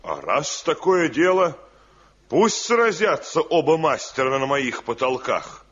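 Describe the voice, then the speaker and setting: An actor playing a well-off village householder in a Soviet radio play, emphatic and theatrical. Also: male voice, on the high side, big pitch swings, slow, a bit bright, slight background noise, heavily acted. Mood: declaring. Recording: dull, muffled 1970 radio play recording.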